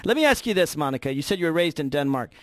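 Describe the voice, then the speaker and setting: An American male talk show host with a fairly high voice in a dry, close space. He speaks conversationally but emphatically, building his points with rhetorical turns like a political commentator. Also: very animated, very fast, dry recording. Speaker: radio call-in show host.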